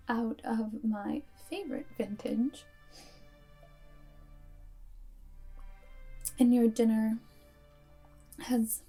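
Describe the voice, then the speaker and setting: A woman playing an aloof, commanding vampire girlfriend and wartime spy in an ASMR roleplay, speaking softly and deliberately in a breathy, often whispered voice. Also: on the high side, full character voice. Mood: fond, composed. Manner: slow, deliberate, hypnotic instructing.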